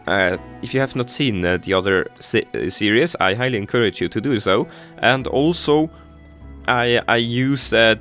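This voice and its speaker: A man's voice: fairly low pitch, fairly bright tone. A young man whose first language is German, chatting casually over his city-building game with many fillers and restarts. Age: teens. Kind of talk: casual gameplay commentary.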